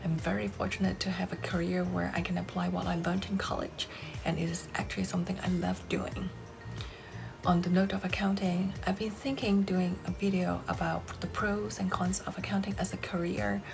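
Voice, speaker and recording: Woman vlogger in her forties, Cantonese native, measured and careful, slight fry, music bed under her. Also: fairly low pitch, little pitch movement. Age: 40s. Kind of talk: scripted personal vlog.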